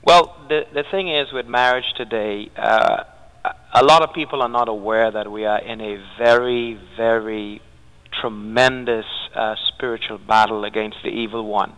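A man's voice: medium pitch, fairly even intonation, a bit bright, smooth. A man calling in as a radio guest, earnestly explaining faith and spiritual battle over a phone line. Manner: earnest, measured, scripture-quoting. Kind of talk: preaching-style explanation.

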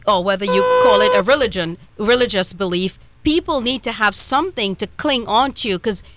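A Trinidadian woman hosting a live radio talk show, chatty with quick asides. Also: medium pitch, very noisy. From Trinidad and Tobago.

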